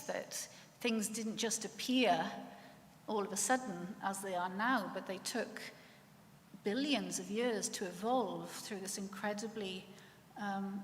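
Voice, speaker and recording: A middle-aged woman with a Welsh accent telling her faith story in church, earnest and reflective, pausing often. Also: medium pitch. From Wales. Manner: earnest and reflective, with pauses and restarts. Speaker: church speaker sharing her testimony. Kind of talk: personal faith testimony. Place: church hall. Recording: echoey church with long reverb, faint room noise.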